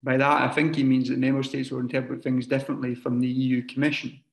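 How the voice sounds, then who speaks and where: A man puts a question to the panel of an online debate, laying it out carefully and restating it. His voice is gravelly with vocal fry. The room around him sounds echoey. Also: mid-range voice, little pitch movement. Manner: measured, explaining carefully. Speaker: audience member asking a question.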